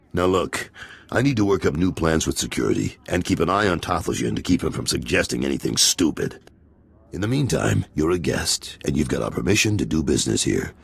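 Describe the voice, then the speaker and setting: A man playing a blunt, businesslike lieutenant to a crime lord in a video game, with a gruff, husky voice. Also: extremely low pitch, exaggerated voice. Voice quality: gravelly, husky. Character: gruff, wary crime lord's lieutenant and enforcer. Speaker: voice actor in a game. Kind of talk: video game cutscene acting.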